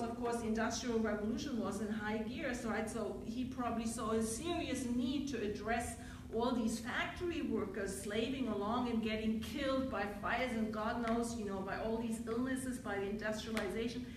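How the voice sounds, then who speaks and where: A German-accented woman gives an earnest scholarly talk, thinking aloud and correcting herself as she goes. Her voice sits a little high for a woman. She is picked up by a distant mic in a strongly echoing university room. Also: a little hiss or hum. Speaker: academic panelist, biblical scholar. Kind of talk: academic roundtable talk. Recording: very echoey room from far off.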